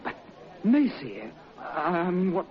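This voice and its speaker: An actor playing a stunned man who stammers haltingly in a radio drama. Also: high voice, huge pitch swings, full character voice. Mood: stunned, bewildered. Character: stunned, stammering male listener to a grim tale. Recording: muffled radio drama with loud crowd behind.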